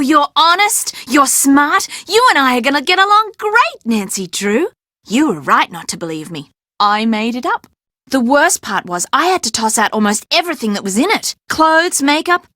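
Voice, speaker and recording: A woman's voice: sits high, fairly bright tone, no echo, studio quality. A woman playing a chatty, sassy young fashion model who likes to fib, in video game dialogue with a dramatic, emphatic delivery. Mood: playful. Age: young adult.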